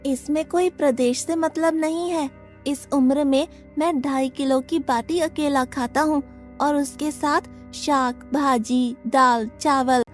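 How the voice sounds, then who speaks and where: A woman voicing a naive, stubborn, food-loving orphan boy in an animated devotional story, innocent and blunt as he bargains. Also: sits high. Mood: matter-of-fact.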